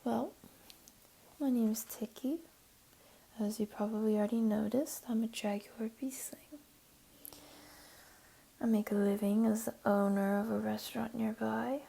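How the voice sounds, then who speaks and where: Woman acting as flirty jaguar beastling, higher feminine voice, breathy half-whisper, teasing, comforting, intimate ASMR roleplay. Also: fairly even intonation, measured pace, pauses often, mellow, heavily acted. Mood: playful, warm.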